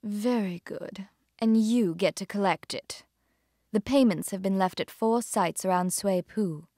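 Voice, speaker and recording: A mysterious, dangerous lady of a pirate clan from a video game. She is poised and cool, with a measured, formal delivery at a middle pitch, recorded dry and close. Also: mellow, dry recording. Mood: silky, commanding. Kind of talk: video game cutscene acting.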